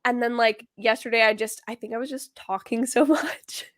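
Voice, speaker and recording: A young woman with a somewhat high voice chats casually on a livestream. She sounds a little hoarse and nasal from a cold. She rambles with plenty of fillers. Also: steady tone, normal speed. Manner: chatty, with many fillers like "like". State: slightly hoarse, congested from a cold. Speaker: livestream host. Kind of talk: casual chat.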